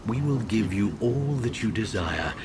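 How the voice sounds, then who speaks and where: Deep, ominous tempter. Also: male voice, animated, unhurried, noisy background. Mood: coaxing, ominous. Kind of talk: dramatic video game cutscene acting.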